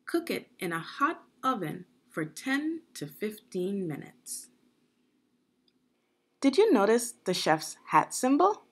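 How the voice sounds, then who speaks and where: Woman, teacherly children's read-aloud, husky voice, very unhurried, deliberate phrasing. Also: on the high side. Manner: deliberate teacherly phrasing, asks the listener questions. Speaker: education consultant reading aloud.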